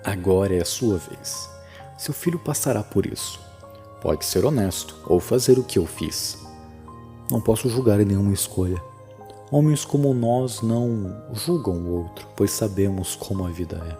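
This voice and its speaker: A man's voice: fairly low pitch, normal speed, dark tone, soft background music, close to an everyday voice. A Brazilian man voicing a dead father's ghost, an older, sardonic, fatalistic man, in a horror audio drama. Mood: resigned.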